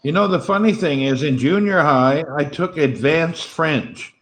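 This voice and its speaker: A man's voice: fairly high pitch, measured pace, a bit bright. A man chatting casually on a livestream, joking and exasperated in his asides. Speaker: livestream chat participant. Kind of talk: casual chat.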